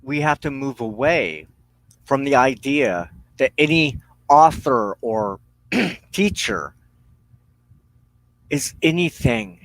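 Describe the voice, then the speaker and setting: Male religious broadcaster, mid pitch, solemn and halting, unhurried teaching delivery in a dry room. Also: a bit bright, dry recording, poor audio. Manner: solemn, deliberate, halting phrasing with pauses. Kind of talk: spiritual teaching monologue.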